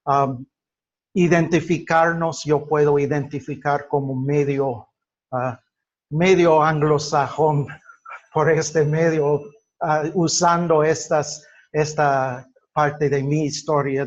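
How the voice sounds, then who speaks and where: A man gives an explanatory lecture, illustrating it with personal examples. His voice sits slightly high and he speaks very slowly and deliberately, halting between phrases. The recording is dull and lo-fi. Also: fairly even intonation. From United States. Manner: halting, deliberate, with drawn-out pauses. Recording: dull lo-fi sound.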